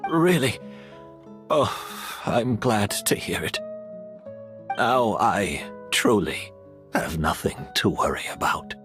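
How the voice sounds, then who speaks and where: Slow-spoken, resigned gentleman. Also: on the low side, big pitch swings. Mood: relieved. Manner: slow, measured, reflective and polite. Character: weary, courtly older male master, resigned to his fate. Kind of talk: English video game voice acting. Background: background game music.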